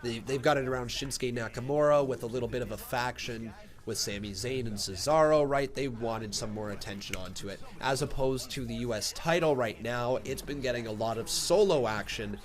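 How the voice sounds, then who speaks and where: A man's voice, thick and plugged-up from a cold, running a casual live reaction stream. He talks loosely and thinks aloud, then breaks into excited play-by-play calls. His pitch sits in an ordinary middle range. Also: fairly quick. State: congested from a cold.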